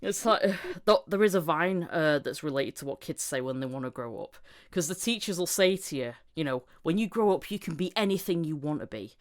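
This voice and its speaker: Low-voiced woman in her thirties, candid chat. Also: expressive, fairly quick, hardly any pauses. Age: 30s. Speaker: voice actor, podcast guest. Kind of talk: casual chat.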